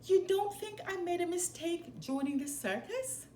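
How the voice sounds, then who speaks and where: Woman as young male storybook mouse, eager, devoted, earnest, animated pitch, roomy echo. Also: measured pace. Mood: anxious. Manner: earnest, expressive storybook dialogue. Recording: roomy echo, faint background noise.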